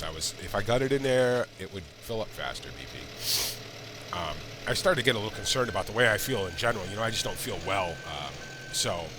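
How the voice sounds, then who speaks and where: Congested man rambling over engine noise. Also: on the low side. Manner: rambling, chatty asides while playing. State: congested, sick. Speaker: gaming channel host. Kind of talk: casual let's-play commentary. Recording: loud game engine noise underneath.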